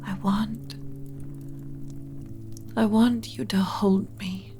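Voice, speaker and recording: Woman doing an undead lich queen for asmr roleplay, mellow breathy half-whisper, yearning n formal, music behind her. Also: mid-range voice, little pitch movement. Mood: aching, pleading. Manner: slow, intimate, dramatic, formal. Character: ancient undead lich queen, female, lovesick, solemn and yearning. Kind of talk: ASMR romantic roleplay monologue. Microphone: close ASMR mic.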